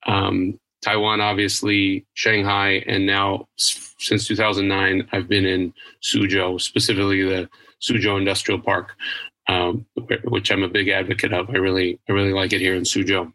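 Bright, flat-toned man from Idaho. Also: sits low, normal speed, poor audio. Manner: thoughtful, with frequent restarts. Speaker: business executive guest. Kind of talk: interview answers.

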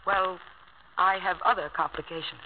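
A woman's voice: fairly low pitch, fairly even intonation, normal speed, natural voice. Actress as sardonic rich woman, crackly old radio. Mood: evasive. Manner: cool, sardonic, bantering. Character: lonesome, proud, flirtatious wealthy woman with sharp comebacks. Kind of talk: 1950s radio drama acting. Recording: crackly narrow-band 1950 radio broadcast.

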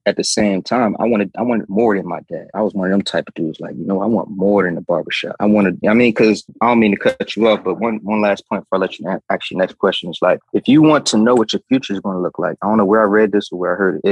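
A man's voice: sits low, vocal fry, slightly rough, dry recording, rough recording. A man in his forties from Trenton, New Jersey, telling his story as a podcast guest in loose, slangy casual talk full of fillers. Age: 40s. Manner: loose and slangy, many fillers like "you feel me".

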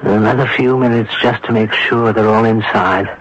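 An actor playing a genial, inquisitive American amateur sleuth in a radio drama, articulate and slightly theatrical. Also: male voice, on the low side, fairly even intonation, moderate pace, a bit bright, natural voice. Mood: intrigued, deliberate. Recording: dull, noisy 1970s radio recording.

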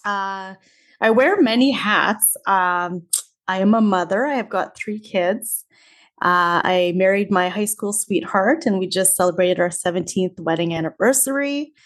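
A woman in her thirties with a Canadian accent, telling her story as an interview guest in a friendly, measured way. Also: mid-range voice, fairly bright tone. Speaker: teacher and author, guest.

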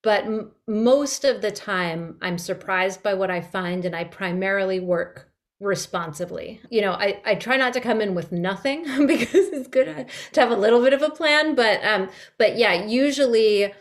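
A woman's voice: mid-range voice, normal speed. A woman speaking as a director and interview guest, casual and reflective, rephrasing as she thinks.

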